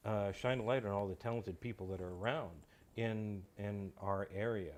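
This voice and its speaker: A man with a fairly low voice talks as a guest in a relaxed interview. He feels his way through sentences haltingly, doubling back on words as he goes. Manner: hesitant, halting, repeats words mid-sentence. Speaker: guest, writer and creator of a comedy audio show. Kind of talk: casual interview chat.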